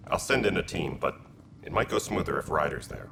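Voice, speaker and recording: A man playing a hard-nosed, blunt militia commander with a gravelly voice in a video game. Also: rumbling low, animated, fairly quick, heavily acted. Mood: stern. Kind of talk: video game cutscene acting. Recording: clear background ambience noise.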